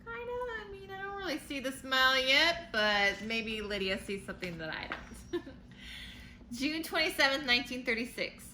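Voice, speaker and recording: A woman teacher reading a storybook aloud to children, pausing to explain words and pictures in simple terms. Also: high voice, big pitch swings, sharp tone. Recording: noticeable background noise.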